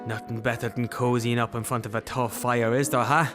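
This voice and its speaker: A brash Irish man played by an actor in a comic radio play, all clumsy swagger and bluster. He boasts and flirts in a mid-pitched voice, pleased with himself. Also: little pitch movement, a little fast, a bit bright, soft background music, close to an everyday voice. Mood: leering. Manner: cocky, blustering. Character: brash, clumsy, boastful male chancer chatting up women. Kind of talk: comic radio drama acting.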